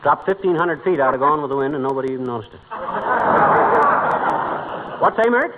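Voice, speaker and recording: A man playing a grumbling, pompous American husband in an old radio comedy. His voice is fairly high and swings widely as he blusters like a know-it-all. The broadcast sounds muffled, with clear background noise. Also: animated, a little fast, natural voice. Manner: indignant, pompous, mangles big words. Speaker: lead comedy actor in a radio sitcom. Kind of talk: 1940s radio comedy acting.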